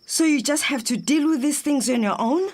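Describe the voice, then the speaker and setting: A woman's voice: high voice, normal speed, a little mellow, natural voice. An actress playing a stern but protective, outspoken woman in a radio drama, with a South African accent. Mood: alarmed, concerned.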